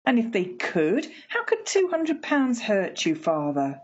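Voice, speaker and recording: A woman's voice: fairly high pitch, huge pitch swings, measured pace, slightly rough, muffled recording, full character voice. A woman playing a practical older mother in an amateur radio play, chiding and dismissive in manner. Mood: scolding, sceptical.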